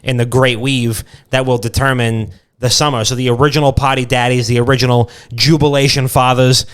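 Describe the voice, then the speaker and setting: A man's voice: mid-range voice, level tone, a little fast, clear, resonant tone. A man co-hosting a casual comedy podcast, rambling irreverently and repeating himself. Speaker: podcast co-host.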